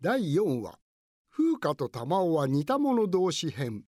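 A woman narrating a light radio comedy in a measured, presentational scripted style. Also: sits low, big pitch swings, exaggerated voice.

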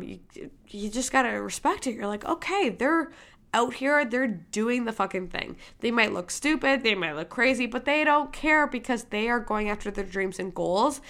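A woman's voice: fairly high pitch, fairly bright tone. A young woman from Michigan hosting a podcast, talking candidly and emphatically in a casual motivational monologue, swearing freely. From Michigan, USA. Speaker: podcast host.

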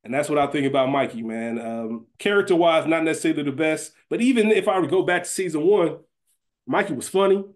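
Male podcast co-host, slightly high voice, loose slangy conversational delivery. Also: fast. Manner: colloquial, slangy. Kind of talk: casual chat.

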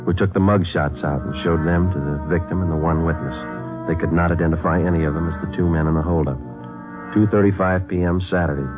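An actor playing a terse, matter-of-fact American police detective in a clipped procedural radio drama. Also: male voice, sits low, close to an everyday voice. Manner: deadpan, matter-of-fact, polite. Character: terse, businesslike male police detective narrating his case. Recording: narrow, lo-fi vintage radio, music behind.

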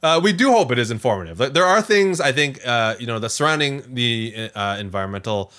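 A man's voice: medium pitch, expressive, brisk, bright, forceful tone. A man co-hosting a podcast in casual, jokey, self-deprecating chat. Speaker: podcast co-host.

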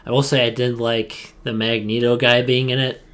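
A man's voice: mid-range voice, little pitch movement, moderate pace, a bit bright. A young adult man co-hosting a podcast, chatting casually and opinionatedly, repeating phrases emphatically for stress. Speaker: podcast co-host.

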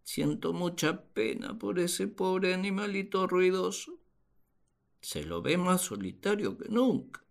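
An actor playing a naive, puzzled husband and father in a radio play, speaking in a deliberate, theatrical way. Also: sits high, vocal fry, full character voice. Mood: puzzled, pitying. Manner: deliberate, theatrical, expressive delivery. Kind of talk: radio play monologue, dramatized diary.

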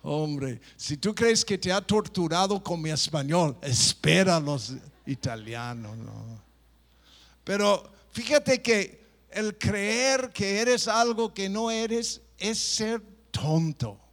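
Man, pastor preaching, high voice, slow delivery, playful mimicry. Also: lively intonation, fairly bright tone. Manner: playful, mimics people, stop-start phrasing. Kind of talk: sermon.

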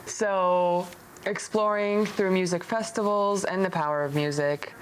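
Clear background noise surrounds a young woman from Syria with a fairly low voice. She talks openly and reflectively, as a guest in a personal chat, and is prone to giggling. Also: steady tone, unhurried, poor audio. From Damascus, Syria. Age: young adult. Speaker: podcast guest, medical device engineer.

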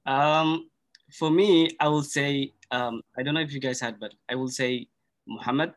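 A man's voice: mid-range voice, fairly even intonation. Earnest man, Somali native speaker. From Kenya. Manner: earnest, thoughtful, measured. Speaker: podcast guest. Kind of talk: casual conversation.

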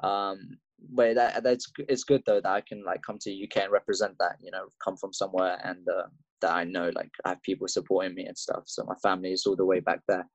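A man's voice: low-pitched, little pitch movement, quick pace, dry recording, highs cut off. A young man from Russia with an English accent, a fighter telling his story in a casual interview, rambling with lots of fillers and restarts. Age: young adult. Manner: rambling, laid-back, many fillers and restarts. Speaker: MMA fighter guest.

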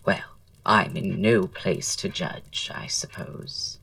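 An actor playing a witty, flirtatious poet and dandy in an audio drama, speaking in florid, arch, self-assured period style. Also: male voice, sits low, steady tone, slow pace, vocal fry, bright, forceful tone, no room sound, far from the mic, full character voice. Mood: proud, airy. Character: languid, witty, flirtatious male poet-playwright dandy, proud.

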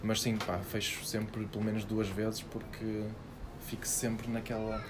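A man's voice: on the low side, flat delivery, measured pace, noisy background. A young man with a European Portuguese accent chatting casually as a podcast co-host, rambling with lots of fillers. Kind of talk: casual chat.

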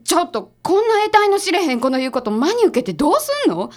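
A woman's voice: very high-pitched, very animated. A woman playing a blunt, skeptical detective's assistant with a Kansai accent in a comedic radio drama. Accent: Kansai Japanese. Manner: blunt, brisk retorts.

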